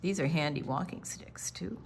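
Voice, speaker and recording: An older woman reading a picture book aloud to children as a storytime reader, with dramatic, deliberate phrasing and quieter conversational asides. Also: low-pitched, vocal fry, a little hiss or hum.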